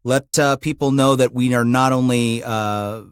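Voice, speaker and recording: A man's voice: medium pitch, fairly even intonation, unhurried, clean tone, no echo. A man hosting a podcast interview in a studio, casual, upbeat and encouraging.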